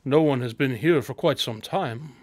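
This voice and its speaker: An actor playing a cerebral, self-assured detective in a radio drama, speaking in a precise, pondering, condescending way. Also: male voice, medium pitch, moderate pace, a little mellow, dry recording, natural voice. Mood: smug, intent. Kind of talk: scripted radio drama dialogue.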